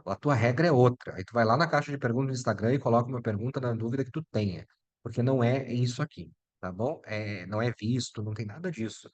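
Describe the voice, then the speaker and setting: A man's voice: medium pitch, fast, dull audio. Brazilian man explaining law, chatty and direct. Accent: Brazilian Portuguese. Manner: chatty and direct, addresses viewer as "tu" and "meu filho". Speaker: immigration lawyer. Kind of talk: casual explainer video.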